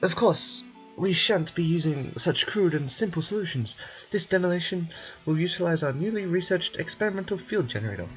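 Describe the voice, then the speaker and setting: A man's voice: high voice, lightly acted. A man voicing a polished institute spokesperson in a video game, explaining things formally with courteous, firm assurance. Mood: assured.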